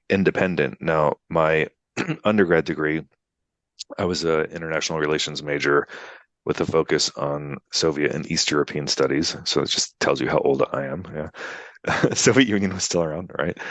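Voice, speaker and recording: A man's voice: a bass voice, no echo. An American man in his fifties, telling his life story in a casual interview with lots of fillers and tag questions. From United States. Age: 50s. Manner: rambling, filler-heavy, frequent "you know" and "right?". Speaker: interview guest, attorney. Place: Poland, remote interview. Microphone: video call.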